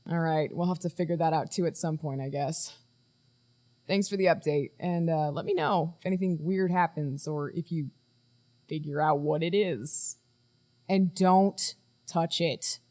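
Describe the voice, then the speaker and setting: An actress playing a guarded woman in charge of a team in a naturalistic audio drama, brisk and firm with hesitant trailing asides. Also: deep voice, mellow, close to an everyday voice. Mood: brisk, dismissive.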